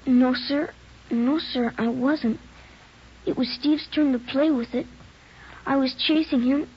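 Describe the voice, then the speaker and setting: High-pitched frightened little boy. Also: steady tone, slow pace, slightly rough, full character voice. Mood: anxious, explaining. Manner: pleading, earnest. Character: frightened guilt-ridden nine-year-old boy. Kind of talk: 1940s radio drama acting. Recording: dull noisy 1940s radio recording.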